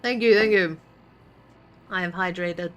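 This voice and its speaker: A woman's voice: fairly low pitch, measured pace, a bit bright, lo-fi sound, a little hiss or hum. A woman streamer casually commenting on her game, muttering to herself while solving puzzles and chatting with viewers.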